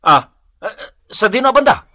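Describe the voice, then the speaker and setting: An actor playing an excitable, comic village man in an Ilocano radio drama, with exclamatory, drawn-out reactions. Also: high-pitched, exaggerated voice. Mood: startled.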